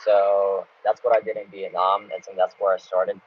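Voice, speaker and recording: Low-voiced man. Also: moderate pace. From Ukraine, grew up in the United States. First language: Russian. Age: 20s. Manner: relaxed, chatty, laughs between answers. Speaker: travel YouTuber. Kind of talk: live Q&A, reading out and answering chat comments. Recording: dull lo-fi sound with faint background noise.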